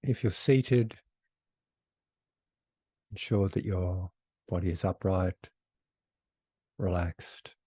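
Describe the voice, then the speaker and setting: A man leading a guided meditation as a teacher, unhurried, giving one short phrase at a time with long pauses. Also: deep voice, mellow, husky, no echo.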